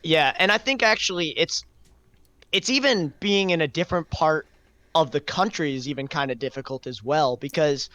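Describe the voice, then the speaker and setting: A man's voice: fairly high pitch, normal speed, pushed and bright, no echo. A young man talking casually and reflectively on a podcast, feeling his way through his phrases. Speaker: podcast host.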